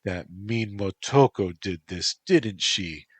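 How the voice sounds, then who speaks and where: A man's voice: lowish voice, expressive, slow pace, husky, no echo, pitched above the performer's own voice, exaggerated voice. A man doing a sing-song doting girlfriend. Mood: concerned. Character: sweet, doting young woman, falsely gentle.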